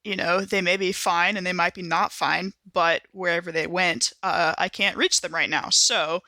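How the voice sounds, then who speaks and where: Bright, forceful woman playing a tabletop game. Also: fairly low pitch, steady tone, moderate pace, dry recording. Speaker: player in an actual play podcast. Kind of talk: casual tabletop role-play chat.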